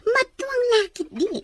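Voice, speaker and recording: Young female schemer, radio serial. High-pitched, petulant, conspiring. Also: a bit bright, no echo, heavily acted. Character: scheming young woman, petulant daughter obeying her mother. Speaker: radio drama actor. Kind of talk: Ilocano radio drama acting.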